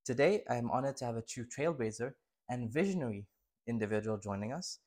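A man's voice: mid-range voice, expressive, brisk, darkish tone. A young man hosting a podcast, friendly, asking a student guest questions and chiming in briefly. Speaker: podcast host. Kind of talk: casual podcast interview.

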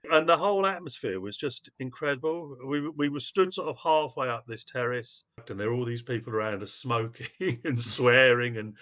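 A man's voice: mid-range voice, a bit bright. A man from Wales, a guest on a podcast, telling his story in a rambling, hesitant way over a call. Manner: rambling, hesitant, many restarts and fillers. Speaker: podcast guest, news publication chief executive. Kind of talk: casual interview storytelling. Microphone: call audio. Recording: dull lo-fi call audio.

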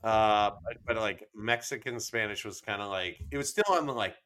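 A male podcast co-host with a Midwestern American accent speaks in casual, joking banter. His voice sits a little low and has a bright, forceful edge. He likes to tease as he talks. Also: moderate pace. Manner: teasing.

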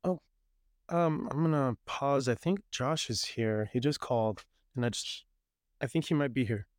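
A man's voice: medium pitch, moderate pace, no echo, clean studio sound. A man from Puerto Rico hosting a podcast, talking casually and thoughtfully with his sister at his parents' house, often affirming what she says. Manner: thoughtful, agreeable, frequent backchannel affirmations. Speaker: podcast host.

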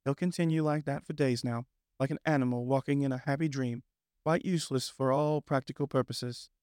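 A man voicing a practical male water rat who speaks firmly and briskly. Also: mid-range voice, steady tone, darkish tone, dry recording, clean studio sound, close to an everyday voice. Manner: firm, brisk, exasperated.

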